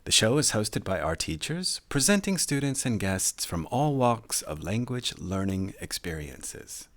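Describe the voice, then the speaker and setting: Male teacher-host, lively intonation, dry close mic. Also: fairly low pitch, measured pace, no echo. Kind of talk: podcast interview.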